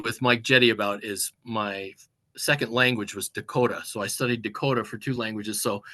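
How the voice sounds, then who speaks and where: A man, a music teacher, presenting a webinar in a halting, unscripted way, pausing for words and restarting phrases over a video call. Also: lowish voice, sharp tone. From United States. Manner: halting, many fillers and restarts, searching for words.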